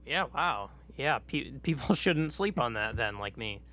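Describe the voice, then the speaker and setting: A man's voice: mid-range voice, animated, a little slow, fairly bright tone. A man co-hosting a podcast, chatting casually and giving brief reactions as a listener. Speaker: podcast co-host.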